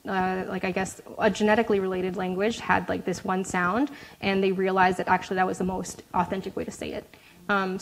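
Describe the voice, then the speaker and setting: A woman's voice: medium pitch, slight background noise, low-quality recording. A woman answering audience questions after a talk, casual and hesitant, with many fillers and restarts.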